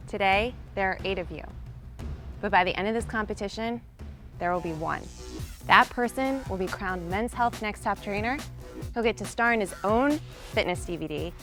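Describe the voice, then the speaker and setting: A woman hosts a televised competition in an upbeat, announcing voice. Her pitch sits in an ordinary middle range. She builds suspense as she reads her scripted lines, with faint music playing behind her. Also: a bit bright. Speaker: competition show host. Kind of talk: scripted TV hosting.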